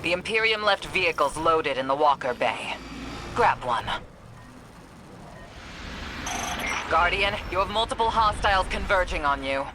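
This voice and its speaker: An actor playing a steady, wary mission handler who briefs a soldier in a dry, serious way in a video game. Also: male voice, sits high, normal speed, background noise. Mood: alert.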